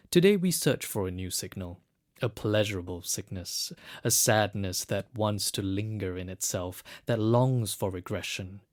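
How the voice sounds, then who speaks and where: A solemn ritual guide in an audio drama, very slow, hushed, kinda low. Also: male voice. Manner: hushed, deliberate, incantatory guiding. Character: solemn male guide to far-future ruins hunting signals.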